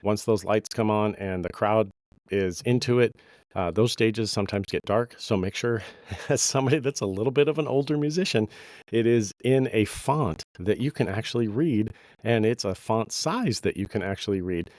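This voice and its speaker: Low-voiced man with a slight cold. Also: normal speed, a little mellow, no echo, studio quality. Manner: conversational, many fillers, anecdotal. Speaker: podcast host, bass player. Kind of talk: advice talk to musicians.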